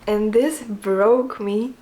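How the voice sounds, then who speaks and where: Soft-voiced young woman, earnest and hesitant, relaxed chatty vlog delivery at a middling pitch. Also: extremely slow. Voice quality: soft quiet voice. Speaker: vlogger.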